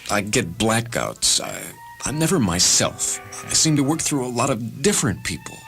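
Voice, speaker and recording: Male reader as agitated confused man, excitable, halting, very animated, storybook drama. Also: medium pitch, gravelly, faint music behind, heavily acted. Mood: uncertain, urgent. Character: agitated, confused man confessing, prone to outbursts.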